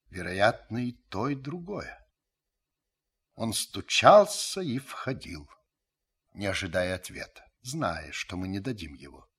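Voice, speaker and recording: Observant uncle recalling wartime in radio play: husky voice, expressive melody, measured literary storytelling. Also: male voice, fairly low pitch, full character voice. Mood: reflective. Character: reflective, observant French uncle narrating his wartime memories. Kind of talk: radio drama narration.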